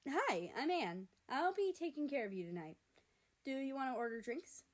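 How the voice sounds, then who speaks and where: A woman's voice: sits high, big pitch swings, moderate pace, voice pushed up. A woman voicing a perky, polite waitress in a story reading, chirpy and eager to please. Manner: chirpy, apologetic customer-service politeness.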